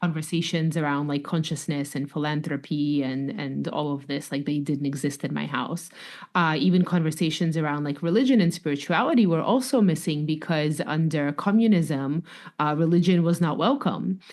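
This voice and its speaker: A woman's voice: deep voice, normal speed, darkish tone. A woman author with a mixed Russian, New York and slightly French accent, talking reflectively as a guest in a podcast interview. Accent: mix of Russian, New York, French and London. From Russia, raised in New York. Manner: reflective, with fillers and light laughs.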